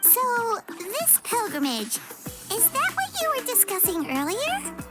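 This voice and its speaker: A woman voicing a tiny, perky, childlike female fairy companion in a video game, with a squeaky, chirpy voice. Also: huge pitch swings, a little slow, over loud music, full character voice. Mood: curious. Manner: chirpy, refers to self in third person. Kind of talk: video game character voice acting.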